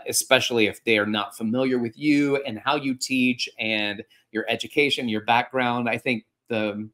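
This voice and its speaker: A man in his forties hosting a fitness podcast from a home room, giving casual practical advice on a video-call style setup with lively gestures. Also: medium pitch. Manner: animated, gesturing, with frequent restarts. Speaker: podcast host and fitness instructor. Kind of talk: casual instructional talk. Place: home room with a couch and art.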